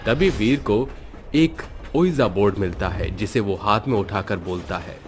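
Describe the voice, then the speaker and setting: A man narrating a cartoon horror story in a measured, dramatic manner. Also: fairly low pitch, music in the background.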